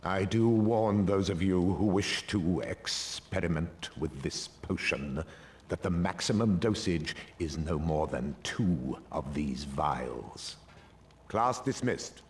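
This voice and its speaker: A man's voice: sits low, animated, slow pace, slight background noise. An actor playing a stern, cold male potions professor in a video game, speaking in a clipped, sneering, commanding way. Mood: cold lecturing. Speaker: teacher.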